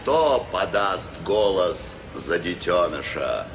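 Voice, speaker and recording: A man voicing a stern, dignified old wolf leader in an animated film, solemn and commanding, over loud music. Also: deep voice, slow, slight background noise, heavily acted. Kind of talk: Soviet animated film acting.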